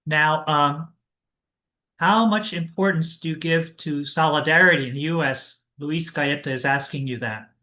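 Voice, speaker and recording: A man with a slightly raised pitch moderates an online panel over a video call. He reads the audience's questions aloud courteously, with occasional fillers. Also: unhurried, pushed and bright.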